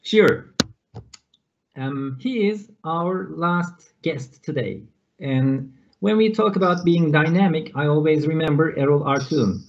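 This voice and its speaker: Man hosting over a dull call. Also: on the high side. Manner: hesitant, measured introductions with fillers. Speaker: conference host. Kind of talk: hosting an online teacher conference. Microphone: video call.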